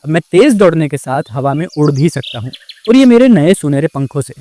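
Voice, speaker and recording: A man's voice: a little high, very animated, fairly quick, dark tone, slight background noise. A man playing a dreamy, eager young male deer in a children's cartoon, speaking earnestly. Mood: boastful. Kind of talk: Hindi children's cartoon dialogue.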